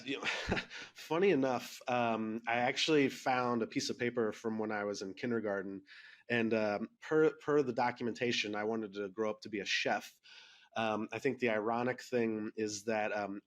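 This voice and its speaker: A man with a Midwestern American accent, chatting casually as an interview guest in an easygoing, self-deprecating way. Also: fairly low pitch. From Columbus, Ohio, United States. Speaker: podcast guest, insurance executive.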